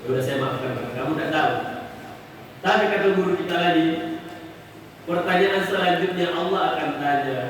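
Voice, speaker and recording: A man's voice: on the high side, fairly quick, long pauses, a bit bright. A man giving a conversational Islamic sermon, explaining patiently and repeating phrases for emphasis. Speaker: Islamic preacher answering questions. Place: mosque hall. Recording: echoey mosque hall, distant mic, noisy.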